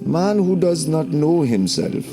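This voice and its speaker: A man narrating a scripted spiritual lesson in a deliberate, declamatory, solemn way, like a preaching spoken-word teacher. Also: fairly high pitch, big pitch swings. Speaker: narrator and host of a spiritual story series. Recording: voice over background music. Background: music.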